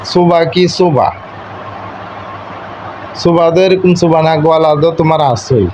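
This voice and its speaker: A man's voice: a little high, unhurried, a little mellow. A young man speaking an eastern Bengali regional dialect, lecturing his wife in an informal domestic argument, insistent, repetitive and domineering. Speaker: husband on a call with his wife. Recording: muffled call recording with faint noise.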